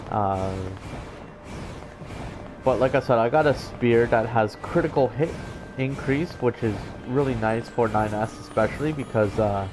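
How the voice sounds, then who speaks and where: A man's voice: medium pitch, low-quality recording. A man giving casual game commentary, explaining things in a rambling, hesitant way. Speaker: let's player. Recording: game music behind the voice. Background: video game music and sounds.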